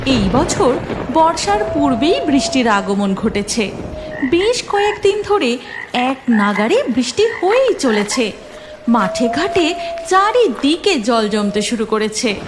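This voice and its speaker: A woman narrating a cartoon folk tale in an expressive, scripted storytelling style. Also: high voice, slow pace, noisy background.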